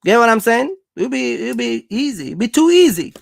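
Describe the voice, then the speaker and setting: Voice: mid-range voice, very animated, fairly quick, gravelly, dry recording. A speaker gives casual motivational advice as a host, talking emphatically, repeating points and checking in with listeners. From Jamaica. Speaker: host, trucking business coach.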